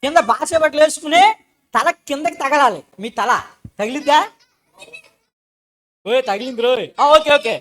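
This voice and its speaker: A man's voice: very high-pitched, normal speed, pauses often, a bit bright. A man voicing a cheeky, doubting boy puppet, teasing and bossy in children's show banter.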